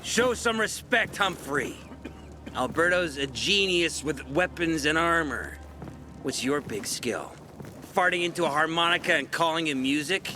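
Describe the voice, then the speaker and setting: A man's voice: fairly high pitch, lively intonation, measured pace, bright, forceful tone, a little hiss or hum. An actor playing a brash, hot-headed male brawler in a video game, boastful, mocking and theatrical. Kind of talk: video game character acting.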